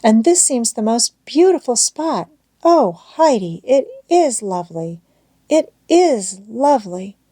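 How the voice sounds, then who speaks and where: A gentle, frail young girl, voiced by a woman reading a storybook. She is polite and earnest, her voice raised a little above an adult's. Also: on the high side, big pitch swings, slow pace, no room sound, voice pushed up, full character voice. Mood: delighted.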